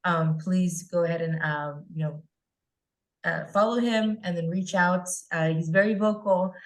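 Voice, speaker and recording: Chatty woman host on a video call, lowish voice, upbeat. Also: unhurried. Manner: upbeat, chatty, many fillers. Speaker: podcast and webinar host. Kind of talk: casual video-call interview.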